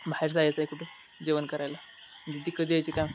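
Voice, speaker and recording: A man's voice: a little high, monotone, fairly quick. Young male vlogger, casual chat, muffled lo-fi sound with a faint layer of noise. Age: young adult. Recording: dull lo-fi audio, faint noise.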